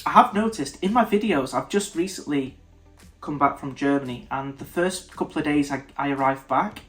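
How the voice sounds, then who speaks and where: Британец с заложенным носом. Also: male voice, fairly high pitch, moderate pace, fairly bright tone, soft background music. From United Kingdom. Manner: thoughtful, stops and restarts mid-sentence. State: nasal, congested. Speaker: whisky reviewer. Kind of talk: casual tasting review to camera.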